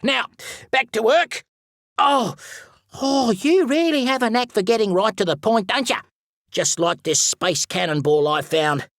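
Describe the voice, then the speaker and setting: An excitable, fussy wildlife expert from a video game, with a pitch that leaps and swoops through every phrase. He is pompous and theatrical, fond of whimsical made-up words, and recorded very dry and close. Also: a little slow, dry recording, full character voice. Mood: chirpy, enthusiastic. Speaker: voice actor. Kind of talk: animated video game character voice lines.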